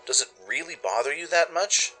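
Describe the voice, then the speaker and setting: A man voicing a loving pony stallion father, a mechanic, gentle and reassuring, in a radio play. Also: animated, normal speed, poor audio. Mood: concerned. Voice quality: deep, gentle. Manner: soft, reassuring, affectionate.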